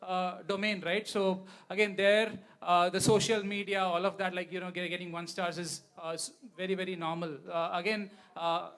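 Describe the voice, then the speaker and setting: A man with a distinctly high voice and an Indian accent speaks as a guest at a talk. He explains his points off the cuff, pausing and rephrasing as he goes. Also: level tone, fairly bright tone. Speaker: guest speaker, company founder. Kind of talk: unscripted question-and-answer on stage.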